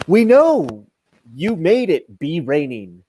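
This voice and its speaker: A man's voice: a little high, big pitch swings, slow pace, mellow, slight background noise. A middle-aged man playing a whiny, self-centred, earnest adventurer in a comedic radio play over a video call. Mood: put out. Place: room at home, on a video call.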